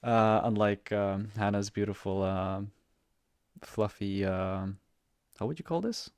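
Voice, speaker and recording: A young man hosting a casual podcast interview, halting and restarting his words with many fillers. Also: on the low side, a little mellow, dry recording, clean studio sound. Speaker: podcast host, university student. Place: plain indoor room.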